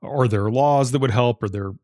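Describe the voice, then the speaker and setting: A man's voice: mid-range voice, moderate pace, darkish tone, no echo, studio quality. A man hosting an interview, putting prepared questions in an articulate, inquisitive manner. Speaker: interview host.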